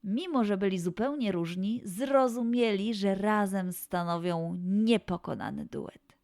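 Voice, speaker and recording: Slow expressive woman storyteller. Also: medium pitch, gravelly, dry recording, studio quality. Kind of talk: children's story narration. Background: soft background music.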